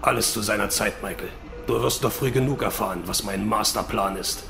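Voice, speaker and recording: Man playing a gravelly gloating villain. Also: lowish voice, music in the background. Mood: smug. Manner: deliberate, taunting. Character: bitter, gloating villain, vengeful disowned son. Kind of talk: radio drama acting.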